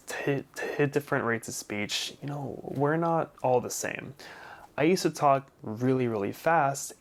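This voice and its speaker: A young man explaining his personal experience to viewers, stuttering with repeated sounds, blocks and prolonged, deliberate phrasing. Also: medium pitch. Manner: deliberate, smoothly prolonged speech between stutters. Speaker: vlogger sharing personal experience. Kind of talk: explanatory personal talk to camera.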